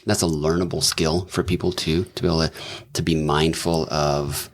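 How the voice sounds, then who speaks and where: Middle-aged male guest, very low voice, musing delivery, lots of ums and restarts. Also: little pitch movement, moderate pace. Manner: thoughtful, rambling, many fillers and restarts. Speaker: doctor and businessman, podcast guest. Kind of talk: casual conversational interview.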